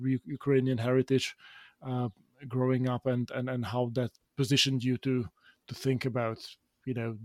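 A male podcast co-host speaks in a halting way, with many restarts as he builds a long question. He sounds thoughtful. His voice is close and dry, with no room sound. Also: medium pitch, darkish tone, no echo. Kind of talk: conversational interviewing.

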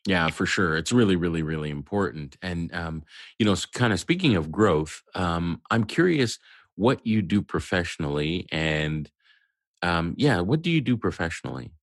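Male interviewer, very deep voice, dry close sound, curious and encouraging. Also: animated, moderate pace, no room sound. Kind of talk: casual interview conversation.

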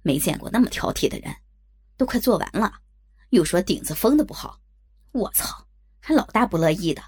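A woman voicing coarse, leering working-class men with a gruff, colloquial, swearing manner. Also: mid-range voice, lively intonation, moderate pace, a little mellow. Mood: crude, leering.

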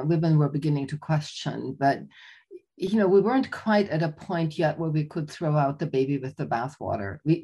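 An older woman from Austria, answering interview questions thoughtfully, with frequent pauses and restarts. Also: deep voice, darkish tone, husky. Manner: thoughtful, many fillers and restarts. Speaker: guest, professor emerita. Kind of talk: conversational interview.

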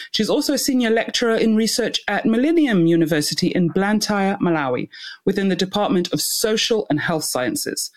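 A woman working as a podcast host, introducing and questioning a guest. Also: fairly low pitch.

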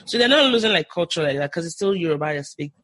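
A woman's voice: fairly low pitch, animated, quick pace, a bit bright, dry recording, studio quality. A Nigerian woman with a Nigerian accent, a podcast guest talking eagerly in casual chat, often restarting her sentences. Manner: enthusiastic, with frequent restarts.

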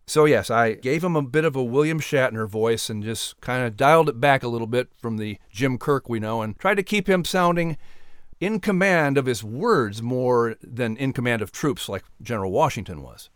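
A man's voice: mid-range voice, moderate pace, clear, resonant tone, no room sound, studio quality. A man speaking as an announcer and voice actor, explaining in a conversational interview style.

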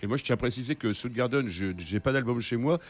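A man's voice: medium pitch, quick pace. A man from northern France co-hosting a radio show, rambling along and poking fun at himself. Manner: rambling, self-mocking, animated. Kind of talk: casual radio chat. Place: radio studio.

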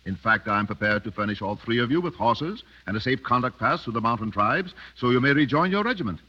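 Villain for vintage radio play: urbane, cruel khan, mock-courteous, husky, widely varied pitch. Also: male voice, expressive, fast. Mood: coolly menacing. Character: suave, cruel Oxford-educated mountain khan, a villain. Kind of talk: 1930s radio drama acting. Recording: dull 1930s radio broadcast.

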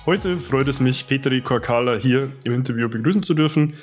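A man's voice: medium pitch, brisk, over loud music. A man hosting a podcast interview, speaking in long, wordy questions with fillers. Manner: wordy, many repeated "auch" and fillers. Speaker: podcast host, personal trainer.

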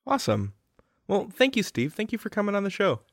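A man's voice: high-pitched, huge pitch swings, brisk, creaky, no echo, clean studio sound. A man hosting a show, upbeat as he thanks a guest and introduces the next piece. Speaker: podcast host.

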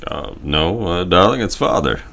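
A man's voice: low-pitched, animated, gravelly, fairly bright tone, heavily acted. A man playing a genial, hesitant older father in a period audio drama. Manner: hesitant, unhurried.

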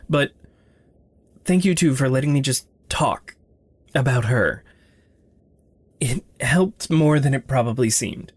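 A woman playing an anxious, caring, self-berating protagonist in an audio drama, speaking in a halting, fretful way. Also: very deep voice, darkish tone. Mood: grateful, hesitant. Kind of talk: fiction podcast audio drama acting. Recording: roomy long reverb, music underneath.

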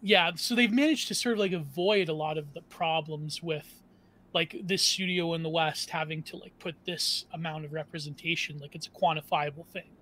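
A man with a high, bright, forceful voice chats as a panel guest. He rambles from thought to thought, packing his sentences with "like". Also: normal speed. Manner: rambling, constant "like". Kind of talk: casual panel discussion.

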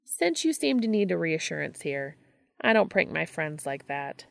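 A woman's voice: sits low, very animated, normal speed, vocal fry, no room sound, clean studio sound, heavily acted. A woman voicing a breezy, teasing male TV prankster with a casual, familiar manner in an audiobook. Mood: teasing, knowing.

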